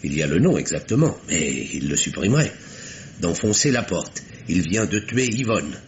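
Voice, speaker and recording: A man's voice: low voice, very animated, normal speed. An actor playing a nervous, courteous bourgeois man in a 1950s French radio mystery, formal and precise but slightly flustered. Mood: careful recounting. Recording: faintly noisy vintage radio recording.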